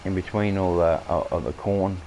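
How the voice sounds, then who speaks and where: A man with an Australian accent, croaky from coughing, rambling through farming-game commentary with many halting fillers. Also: deep voice, steady tone, dark tone, a little hiss or hum, rough recording. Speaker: gameplay streamer.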